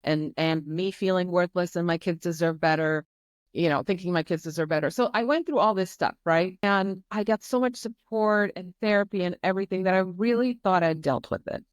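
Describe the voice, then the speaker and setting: A woman's voice: fairly low pitch, a little fast, dry recording, clean studio sound. A woman in her forties from Croatia, a podcast guest telling her family's story candidly, earnest and emphatic, often repeating herself for weight. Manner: earnest, emphatic, leans on repetition.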